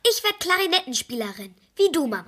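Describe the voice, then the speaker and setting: A woman's voice: high voice, very animated, quick pace, fairly bright tone. A woman playing a pert, tattling little sister in a children's radio play, cheeky and eager.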